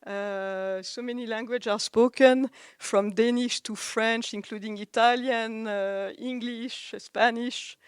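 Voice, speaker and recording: A woman speaks thoughtfully at a press conference, pausing often with fillers. Her voice is smooth and clear, with a dry close sound. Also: a little high, steady tone, no echo.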